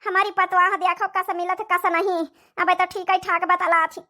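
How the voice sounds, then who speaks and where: A woman's voice: very high voice, level tone, moderate pace, bright, forceful tone. A woman voicing a fretful, talkative cartoon village mother-in-law with a rural Awadhi accent and dramatic, repetitive chatter. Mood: alarmed, complaining. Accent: Awadhi, rural north India. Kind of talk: cartoon comedy dialogue.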